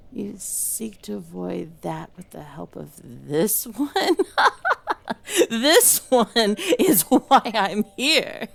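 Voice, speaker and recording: A woman's voice: medium pitch, creaky, a little hiss or hum, heavily acted. A woman playing a bitter, mocking imprisoned dragon soul in a dramatic audio roleplay, with a theatrical, taunting delivery. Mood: mocking amusement, then bitter anguish.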